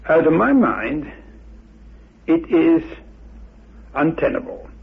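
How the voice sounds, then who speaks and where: An older man giving a measured, formal explanation in deliberate, scholarly phrases. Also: on the high side, very animated, vocal fry, slightly rough. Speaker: expert interviewee. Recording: muffled, phone-like old radio recording.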